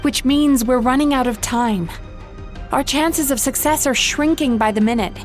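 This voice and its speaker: Woman as a resolute, high-voiced young game heroine. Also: moderate pace, soft background music, close to an everyday voice. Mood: urgent, determined. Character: resolute, feisty young female dream guardian. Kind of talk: video game voice acting.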